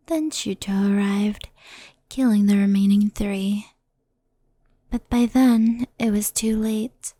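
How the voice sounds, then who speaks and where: Woman as a soft, slow, whispery fox spirit. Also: medium pitch, no echo. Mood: sorrowful, hushed. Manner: soft, breathy, often whispered, unhurried. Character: centuries-old female fox spirit, gentle, anxious storyteller. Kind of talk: ASMR roleplay. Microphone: close microphone.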